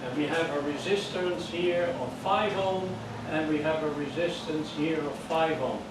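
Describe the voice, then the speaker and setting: An older man with a Dutch accent teaches an electronics class in a patient, step-by-step way, checking in with the room. His voice sits a little high for a man. It is picked up from across a very echoey room, with a clear hiss of background noise. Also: measured pace. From Netherlands. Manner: plain, patient explaining with jokes and check-ins. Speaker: amateur radio course instructor. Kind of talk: classroom lecture. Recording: echoey room from far off, noisy.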